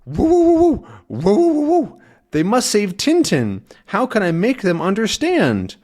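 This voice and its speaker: A man voicing a small, anxious, loyal dog with high yelping barks. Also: wildly swooping pitch, measured pace, darkish tone, heavily acted. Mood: urgent.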